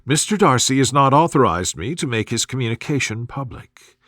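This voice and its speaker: A man voicing a sensible, discreet young woman in a storybook reading, reasoning in measured phrases. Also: mid-range voice, lively intonation, fairly bright tone, dry recording, studio quality. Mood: resolute, wry.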